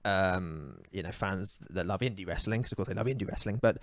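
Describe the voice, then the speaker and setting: A man's voice: sits low, fairly quick, creaky. A man co-hosting a casual comedy podcast, giving strong opinions in a rambling, ranting way with many fillers. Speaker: podcast co-host.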